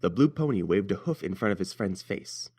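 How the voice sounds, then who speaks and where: A man's voice: deep voice, animated, fairly quick, dark tone, breathy edge. A man narrating a scripted story as its reader.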